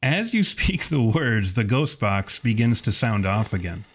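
A man's voice: lowish voice, sing-song, unhurried, a little hiss or hum. A man narrating a tabletop role-playing game as game master.

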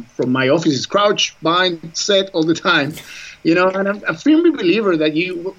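A man's voice: on the high side, muffled recording. A man who is not a native English speaker, a rugby scrum coach talking earnestly in an interview, with frequent restarts and fillers. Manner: earnest, many restarts and "you know" fillers. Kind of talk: conversational interview. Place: New Zealand.

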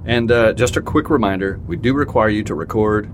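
This is a slick, evasive boss in an audio drama, played by a man, breezy and dismissive of every worry. His voice is fairly low, and a clear hiss sits under the recording. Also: brisk, natural voice.

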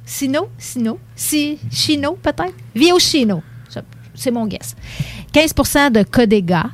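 A woman with a fairly high voice and a Quebec French accent. She talks as a wine expert on a relaxed talk show, explaining informally and with a playful touch. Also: unhurried. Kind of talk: casual wine-tasting chat.

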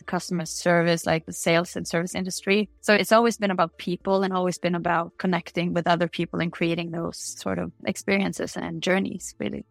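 A woman's voice: lowish voice, creaky, dry recording. A woman from Norway with a Norwegian accent, answering interview questions in a candid, chatty way. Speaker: interview guest.

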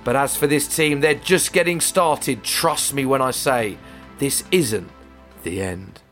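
An English man hosting a football podcast, his voice slightly croaky, with a dramatic, rhyming recap delivery. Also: mid-range voice, takes it slowly, over music. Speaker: podcast host. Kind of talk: scripted podcast presenting.

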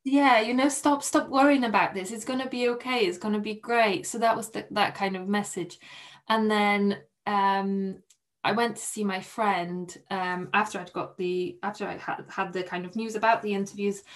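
A young Polish woman, a podcast guest, telling a personal story in a soft, hesitant way with many restarts. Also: mid-range voice, steady tone, normal speed. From Poland.

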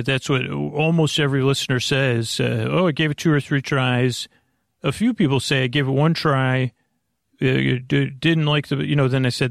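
Male sleep podcast host, creaky and gravelly, mid-range pitch, close dry sound, halting and meandering delivery. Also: dry recording. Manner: meandering, halting, self-deprecating. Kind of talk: rambling, off-topic bedtime monologue.

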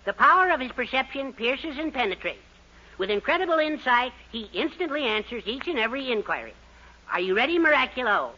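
An actress playing a bossy, persuasive schemer with theatrical, emphatic delivery in a 1940s radio sitcom. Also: female voice, sits high, very animated, fairly quick, pushed and bright, heavily acted. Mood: showy, insistent. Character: bossy, scheming partner with grand showmanship. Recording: dull, noisy 1940s radio recording.

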